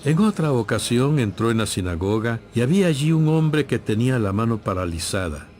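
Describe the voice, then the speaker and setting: A man giving scripted, measured and solemn narration for a dramatized audio Bible. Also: medium pitch, very animated, darkish tone, a little hiss or hum.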